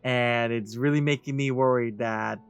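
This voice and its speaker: A man's voice: medium pitch, steady tone, moderate pace, soft background music. A man giving casual, informal, reactive commentary as he plays through a video game. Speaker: let's play streamer. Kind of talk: casual gameplay commentary, reading along.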